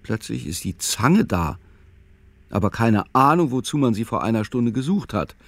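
A man playing an unhurried narrator in a literary radio play, with a gravelly voice and deliberate telling. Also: mid-range voice, sing-song, a little hiss or hum. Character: unhurried male narrator following an old man's thoughts.

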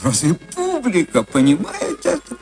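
A gloomy, self-important man who runs a theatre played by a woman grumbles theatrically. The pitch swings wildly, and faint music sits behind this radio-story voice. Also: wildly swooping pitch, measured pace, darkish tone, exaggerated voice. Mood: bitter, despairing. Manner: aggrieved, theatrical.

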